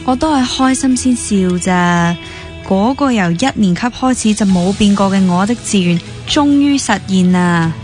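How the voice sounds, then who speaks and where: Radio drama part, actress: nosy, teasing young woman dancer, Hong Kong Cantonese accent, average pitch, noticeable music underneath. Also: animated, moderate pace, darkish tone, close to an everyday voice. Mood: playful, teasing. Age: young adult. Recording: music playing behind the dialogue.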